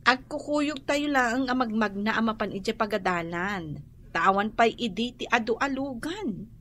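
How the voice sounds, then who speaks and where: A woman's voice: a little high, unhurried, fairly bright tone. A woman with a Filipino accent playing an easygoing woman in a radio drama. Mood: breezy.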